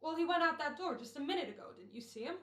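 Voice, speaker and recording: A woman playing a brisk, bossy, wry and self-assured radio director in an old-time comedy radio play. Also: high-pitched, expressive, reverberant. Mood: businesslike.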